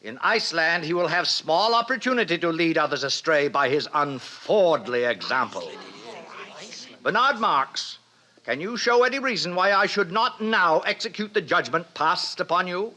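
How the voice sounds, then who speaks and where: An actor playing a pompous, officious director of a hatchery in a 1950s radio drama, speaking in formal declamation. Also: male voice, on the high side, expressive, moderate pace, bright, forceful tone. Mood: stern, denouncing. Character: pompous, authoritarian male director of a baby hatchery. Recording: noisy old radio recording.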